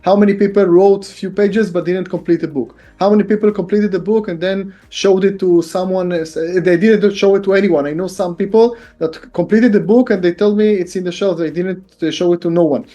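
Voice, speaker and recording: Israeli man in his forties, mellow voice. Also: high-pitched, fairly even intonation, fairly quick, few pauses, highs cut off. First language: Hebrew. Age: 40s. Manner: earnest, repetitive phrasing with many fillers. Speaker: guest author and business consultant. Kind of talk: casual interview talk.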